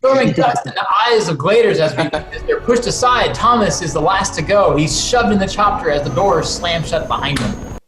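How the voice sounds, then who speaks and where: A man's voice: high-pitched, animated, moderate pace. A man reading stage directions dramatically with casual asides at a table read over a video call. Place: indoor room on remote video call. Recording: echoey room, clearly noisy video call.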